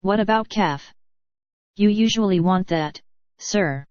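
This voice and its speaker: A woman's voice: medium pitch, takes it slowly, a little mellow, no echo, lightly acted. A synthetic female narrator voicing a meek, deferential servant woman in an audiobook, with stilted delivery. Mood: compliant, deferential. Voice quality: synthetic text-to-speech voice. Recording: dull, lo-fi audio.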